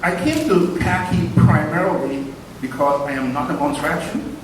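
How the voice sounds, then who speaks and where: A man's voice: on the high side, normal speed. A man giving a rambling, self-deprecating speech at a brunch event, full of ums and asides. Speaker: event speaker. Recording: echoey hall from far off, noisy.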